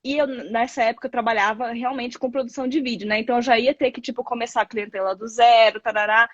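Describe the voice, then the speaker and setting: A woman in her thirties, a guest content creator, talking in a rambling, expressive way with many fillers on a video call. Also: a little high, fast, no room sound, lo-fi sound. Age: 30s. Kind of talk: casual live chat.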